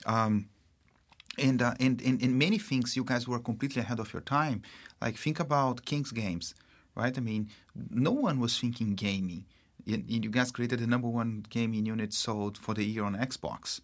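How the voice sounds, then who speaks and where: A man from Brazil with a Brazilian accent, a marketing executive speaking as an interview guest in a halting way, full of fillers and restarts. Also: mid-range voice. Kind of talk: casual interview talk.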